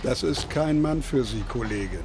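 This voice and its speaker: An actor playing a sardonic, teasing construction worker in a radio drama, with a dry, mocking delivery. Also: male voice, mid-range voice, normal speed, background noise, close to an everyday voice. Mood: sneering.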